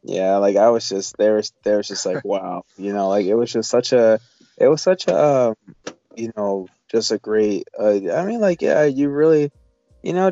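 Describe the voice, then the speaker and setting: Bright male co-host, rambling, lo-fi sound. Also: on the low side. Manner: rambling, halting, repeats phrases. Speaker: movie review co-host. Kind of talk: casual film chat. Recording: dull lo-fi audio with faint music. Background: faint music.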